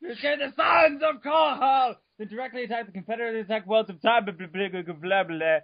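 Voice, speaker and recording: A man giving a mock-dramatic reading of an article, over the top, bursting into shouts and stumbling over words. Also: sits high, brisk, dry recording, muffled recording. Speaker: reader.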